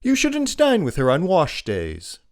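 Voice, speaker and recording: An actor playing an urbane gentleman bachelor in a dramatized audiobook, indolently amused, polite and dryly teasing. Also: a little high, wildly swooping pitch, a little slow, full character voice. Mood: wry, courteous. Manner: dry, wry, polite.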